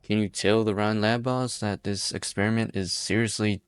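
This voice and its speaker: A man's voice: lowish voice, fairly even intonation, moderate pace, smooth, no room sound, lightly acted. A man voicing a desperate pioneer leader in an amateur fan dub, blunt and folksy. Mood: weary. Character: desperate male pioneer leader, rough and plainspoken.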